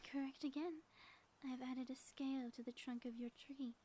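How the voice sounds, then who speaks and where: A high, softly mellow voice of a woman acting as a gloating villainess in a roleplay. She speaks in florid, grandiose phrases with playful menace. There is faint noise behind her. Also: steady tone, normal speed, lightly acted. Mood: commanding, menacing. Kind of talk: scripted audio roleplay monologue, ASMR.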